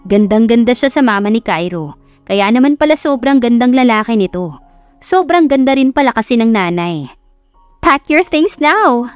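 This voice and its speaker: A woman's voice: fairly high pitch, expressive, normal speed, darkish tone, smooth, dry recording. A woman with a Filipino accent reading a scripted romance story as a dramatic audiobook narrator. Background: music behind the voice.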